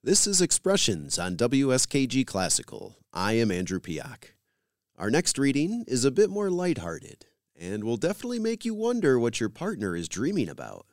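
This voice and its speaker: A man's voice: medium pitch, wildly swooping pitch, normal speed, no echo, clean studio sound. A man hosting a radio program, reading scripted introductions and credits as a presenter.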